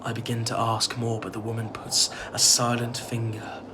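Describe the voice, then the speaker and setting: Over a clearly noisy atmospheric backdrop, an actor voices an apprehensive man telling a gothic horror tale. His delivery is level in pitch and faltering, full of unease in an audio drama style. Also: monotone, moderate pace, lightly acted. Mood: dread-filled narration. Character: uneasy male narrator drawn into a sinister festival.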